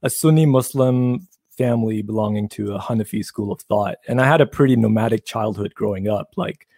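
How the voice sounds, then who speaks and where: A man from Pakistan, a guest telling his life story in a casual interview, measured and thoughtful with many fillers. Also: lowish voice, a little mellow, no echo.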